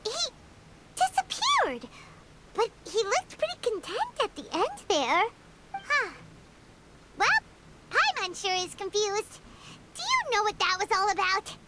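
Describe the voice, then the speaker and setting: A woman's voice: wildly swooping pitch, takes it slowly, long pauses, noisy background, exaggerated voice. A woman voicing a tiny, perky, chatty fairy companion in a video game, who talks about herself in the third person. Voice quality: very high, squeaky, bouncy.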